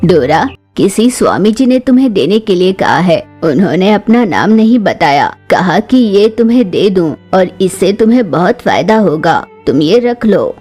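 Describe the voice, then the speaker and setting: A woman voicing a kindly, reassuring female crow doctor with a husky voice in a children's cartoon. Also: mid-range voice, very animated, normal speed, hardly any pauses, warm tone, soft background music, pitched below the performer's own voice. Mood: coaxing. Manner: reassuring, coaxing. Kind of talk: Hindi children's cartoon voice acting.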